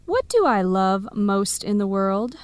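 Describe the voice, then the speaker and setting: A woman's voice: mid-range voice, sing-song, slow, clean tone, no echo. An actress playing an earnest, playful young woman with coffee shop dreams in an educational radio drama. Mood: playful, confiding.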